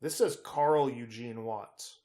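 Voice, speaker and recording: A man's voice: mid-range voice, takes it slowly, warm tone. A man co-hosting a casual true-crime podcast, talking conversationally and often groping for words and names. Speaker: podcast co-host.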